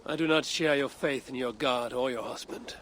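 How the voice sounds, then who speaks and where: An actor playing a stern, distrustful Viking warrior in a video game, speaking in a blunt, solemn, terse way. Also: male voice, mid-range voice, normal speed, fairly bright tone, slight background noise, lightly acted. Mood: grim. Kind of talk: dramatic video game acting.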